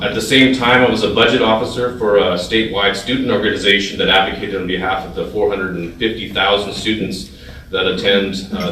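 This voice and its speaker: A man with a low, bright voice gives a hesitant club talk, full of ums and restarts. He grew up in California's Central Valley, and his first language was Thai. He is heard from a distance in a big, echoey dining hall. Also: a little hiss or hum. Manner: hesitant, many fillers and restarts. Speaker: guest speaker. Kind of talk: informal speech to a club. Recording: echoey hall, mic far off.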